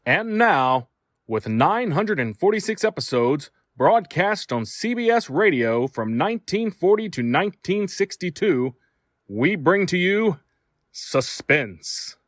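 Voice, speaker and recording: A man's voice: high voice, big pitch swings, slow pace, no room sound, studio quality. A man reading a scripted introduction as host of an old-time radio podcast.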